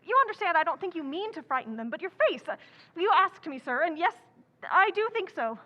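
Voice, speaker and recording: An actress playing an outspoken, impetuous young writer, chattering and blunt, in an old-time radio drama. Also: female voice, very high-pitched, big pitch swings, brisk, pushed and bright, lo-fi sound, heavily acted. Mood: nervously blunt.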